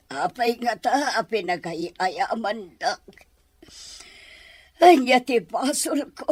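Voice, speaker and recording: A woman's voice: medium pitch, slightly rough, dry recording, heavily acted. A woman playing a bitter, tearful middle-aged mother in an audio drama, with an Ilocano accent. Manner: tearful, dramatic, swinging delivery. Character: heartbroken, bitter mother and lady of the house.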